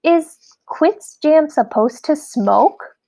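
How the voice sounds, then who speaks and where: Young quokka schoolboy, played by a woman: high squeaky voice, eager and curious, storybook read-aloud. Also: expressive, drawn-out words, warm tone, full character voice, rough recording.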